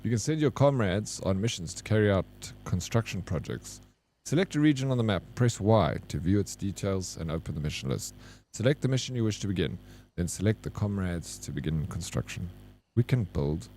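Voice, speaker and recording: A man's voice: deep voice, animated, fairly quick, a little mellow, slight background noise. A male streamer chatting casually while gaming, joking, teasing and swearing freely, often laughing.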